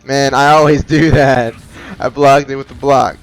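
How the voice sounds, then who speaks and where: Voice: mid-range voice, steady tone, moderate pace, faint music behind, poor audio. Laid-back gamer muttering asides. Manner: offhand, joking asides. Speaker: gamer commentating a playthrough. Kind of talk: casual gameplay commentary.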